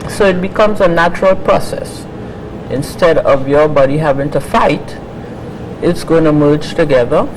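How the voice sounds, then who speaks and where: A man's voice: on the high side, normal speed. A man advocating natural healing, explaining his views steadily in an interview. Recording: noisy background.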